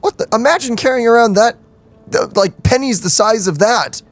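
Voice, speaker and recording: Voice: mid-range voice, animated, normal speed, faint music behind. A let's-play host giving casual, jokey commentary, laughing often and breaking into exclamations.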